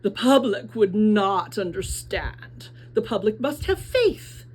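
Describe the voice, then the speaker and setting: A woman's voice: medium pitch, big pitch swings, takes it slowly, darkish tone, a little hiss or hum. A woman voicing an immense, pompous and menacing male mayor in a children's storybook, scolding in a ponderous manner. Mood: pompous, lecturing. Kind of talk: children's novel read aloud.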